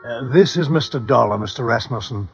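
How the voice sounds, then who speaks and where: An actor playing a formal, hesitant, graying butler in a 1950s radio drama, loyal and deferential. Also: male voice, medium pitch, fairly quick, vocal fry, breathy edge, faint music behind. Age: older. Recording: dull vintage radio recording.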